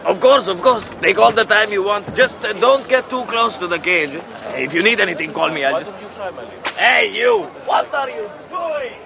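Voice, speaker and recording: An actor playing a shifty, wheedling male slave dealer with halting sales patter in an audio drama. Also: high-pitched, big pitch swings, gravelly, exaggerated voice. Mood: angry, alarmed. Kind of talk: radio drama acting. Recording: busy outdoor market crowd. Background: crowd.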